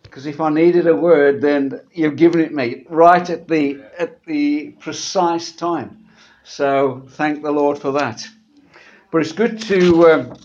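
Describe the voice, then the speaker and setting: A man preaching in church, his voice slightly gravelly and husky from a sore throat, speaking in a conversational sermon style. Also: a little high, a little mellow. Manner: halting, conversational, often repeating words. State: sore throat after a medical procedure. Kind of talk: church sermon with scripture reading. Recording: dull, muffled church recording.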